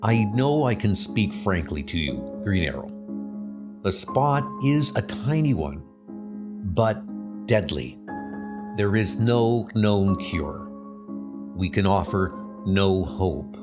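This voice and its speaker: A man voicing a grave, frank hospital doctor with a solemn, halting delivery. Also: low voice, animated, over music, voice pushed down.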